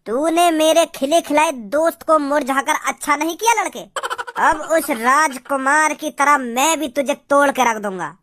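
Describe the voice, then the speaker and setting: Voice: high voice, normal speed, a bit bright. A performer voicing a swaggering, dramatic village boy in a comic cartoon. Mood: angry threatening. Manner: blustering, dramatic. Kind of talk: comic Hindi cartoon dialogue.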